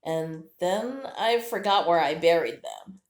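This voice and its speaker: Woman voicing an eager little squirrel, wildly lively pitch. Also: slow pace. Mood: excited. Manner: expressive, exclamatory storybook character talk. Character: small eager squirrel, excitable, tender and easily frightened. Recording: roomy, echoing indoor sound.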